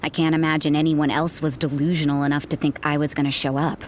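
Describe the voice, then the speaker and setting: A woman's voice: low-pitched, level tone, fast talker, slight background noise, natural voice. A woman playing a cool, sardonic, suspicious church sister in a video game, with a dry, cynical, interrogating manner. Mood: dry, taunting.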